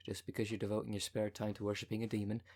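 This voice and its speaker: A slick female cult minister acted out by a man in an ASMR audio drama, pitched on the low side. The delivery is polished and salesmanlike, that of an unctuous con artist selling devotion to a demon. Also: monotone, very fast, darkish tone. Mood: businesslike sales pitch.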